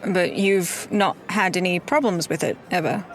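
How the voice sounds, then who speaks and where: A woman's voice: on the low side, moderate pace, lightly acted. A woman playing a practical adventurer in a tabletop game, with a dry, teasing manner. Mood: businesslike.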